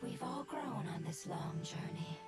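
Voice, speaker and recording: A woman voicing a small, selfless and resolute forest spirit in a video game, with a breathy, whispery voice and solemn delivery. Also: mid-range voice, animated, a little slow, over loud music, close to an everyday voice. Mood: solemn resolve. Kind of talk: English video game voice acting.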